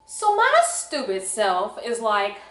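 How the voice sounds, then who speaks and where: A woman's voice: mid-range voice, huge pitch swings, takes it slowly, pushed and bright. A young woman telling a personal story in a dramatic, exaggerated, slangy way, acting out the dialogue. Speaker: vlogger. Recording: echoey room.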